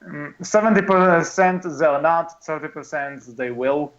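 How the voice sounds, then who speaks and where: A man's voice: on the high side, a little slow, fairly bright tone, muffled recording. A man co-hosting a casual movie podcast, chatting in an exclamatory way. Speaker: podcast host.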